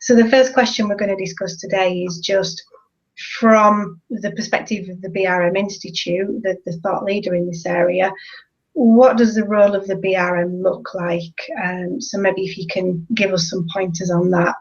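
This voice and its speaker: A woman hosting a live web show, introducing the guest and explaining topics in a clear, organised way. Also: medium pitch, steady tone, moderate pace. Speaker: host, lead tutor. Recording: dull lo-fi sound.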